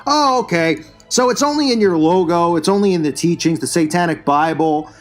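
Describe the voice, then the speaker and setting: A man's voice: a little high, brisk, soft background music. A man hosting his own channel, delivering a combative, scornful religious commentary rant. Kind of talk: religious commentary rant over news clips.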